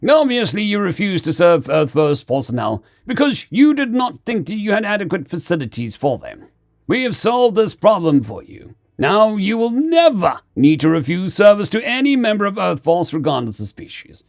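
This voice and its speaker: A man's voice: high voice, huge pitch swings, brisk, pitched above the performer's own voice, full character voice. Man acting a barking, formidable marine commander. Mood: stern, aggressive. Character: formidable, gruff male marine commander, aggressive and blunt.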